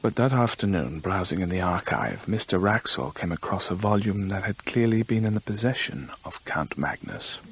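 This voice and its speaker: An actor playing an earnest storyteller who believes in the supernatural, measured and conversational, in a radio drama. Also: male voice, low-pitched, expressive, a bit bright, husky, heavily acted, rough recording. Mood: absorbed storytelling.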